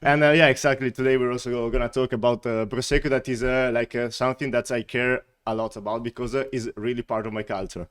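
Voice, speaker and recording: A man's voice: mid-range voice, fairly even intonation, quick pace, hardly any pauses, a bit bright, smooth. A man from the Veneto region of Italy with an Italian accent, chatting as a guest and laughing often between filler words. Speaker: wine company representative, guest. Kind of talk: casual interview chat.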